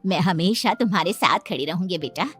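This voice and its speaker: A woman's voice: lowish voice, expressive, a little fast, breathy edge, soft background music. A woman voicing a protective, middle-aged mother-in-law in a cartoon moral story, motherly, firm and emphatic. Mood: doting.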